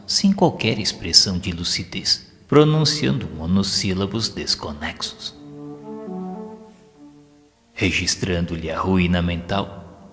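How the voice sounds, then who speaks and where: A man's voice: deep voice, a bit bright. A man narrating an audio drama from a script in a solemn, formal, literary manner. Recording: long hall reverb. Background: background music.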